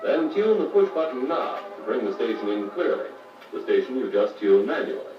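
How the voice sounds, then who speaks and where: An American actor playing a patient car radio service instructor, explaining methodically in a 1950s training filmstrip style. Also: male voice, mid-range voice, very animated, normal speed, over music, natural voice. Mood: patient, instructive. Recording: muffled, noisy, echoey 1950 record playback, distant mic.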